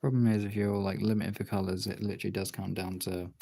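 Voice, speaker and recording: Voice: sits low, flat delivery, quick pace, creaky, warm tone. A casual streamer chatting and muttering to themselves while working on art, with some swearing. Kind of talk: casual chat while colouring artwork.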